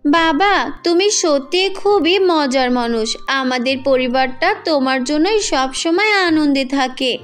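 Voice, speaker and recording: A woman's voice: high voice, slow pace, faint music behind. A woman voicing an affectionate college-age daughter in a cartoon fairy tale.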